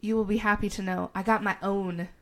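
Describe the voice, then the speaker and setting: A young adult woman co-hosting a casual podcast chat, her voice low and rough from a sinus infection, laughing often and swearing casually. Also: flat delivery, a little fast, fairly bright tone. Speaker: podcast co-host.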